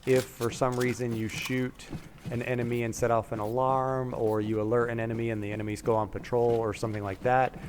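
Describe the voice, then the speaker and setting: A man's voice: medium pitch, little pitch movement, a little fast. A man giving matter-of-fact, explanatory strategy commentary over a game playthrough. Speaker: gaming video maker. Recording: voice-over with game noise behind. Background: game sounds, gunfire.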